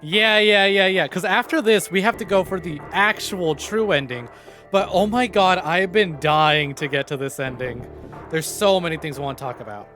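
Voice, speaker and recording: A man streams a story game in a slightly high voice. He reacts casually, teasing and excitable, with soft game music in the background. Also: normal speed. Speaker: livestream host playing a visual novel. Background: game audio and music.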